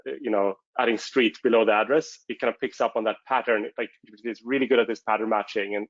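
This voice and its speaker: A man's voice: lowish voice, no room sound, dull audio. A man whose first language is Swedish, presenting a technical talk at a conference and hesitating often with fillers and restarts.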